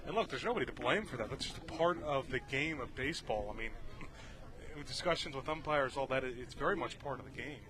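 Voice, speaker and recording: A man's voice: medium pitch, lively intonation, fast. Male baseball analyst over crowd noise. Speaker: baseball color commentator. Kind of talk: live sports analysis, conversational. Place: outdoor ballpark, beside the field. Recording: outdoor ballpark crowd noise behind.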